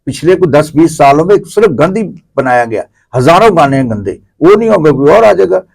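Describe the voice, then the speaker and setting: A man's voice: fairly high pitch, big pitch swings, creaky, darkish tone. A middle-aged Pakistani man giving opinions as an interview guest, explaining at length and repeating phrases conversationally. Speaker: interview guest, theatre commentator.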